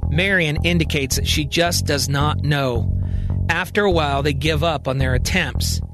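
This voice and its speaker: A man narrating a scripted audio drama as storyteller, in deliberate, measured phrases. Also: fairly high pitch, music in the background.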